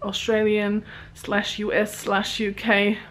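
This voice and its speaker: A woman's voice: mid-range voice, completely flat delivery, slow, a bit bright, poor audio. A woman in her thirties chatting upbeat to a camera held at arm's length in a small home craft room, laughing between words. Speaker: vlogger. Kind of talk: casual vlog chat. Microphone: handheld camera at arm's length.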